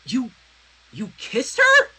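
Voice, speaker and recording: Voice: mid-range voice, huge pitch swings, slow pace, sharp tone, breathy edge, pitched above the performer's own voice, heavily acted. A fan fiction reader voicing a jealous, hurt, lovesick young student girl, pleading and indignant. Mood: hurt, accusing.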